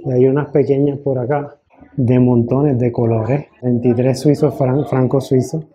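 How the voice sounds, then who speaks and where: A man's voice: mid-range voice, steady tone, unhurried, warm tone. A man narrating a travel vlog in a casual, chatty way, speaking into a handheld camera as he walks. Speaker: travel vlogger. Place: mostly outdoors on mountain hiking trails. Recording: dull, noisy outdoor handheld recording.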